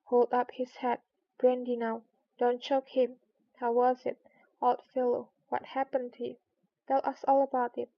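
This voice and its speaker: Woman voicing bustling little animal workers, higher-pitched. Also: completely flat delivery, unhurried, vocal fry. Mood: fussing, concerned. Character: bustling, bickering crowd of small animal workers. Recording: dull lo-fi recording.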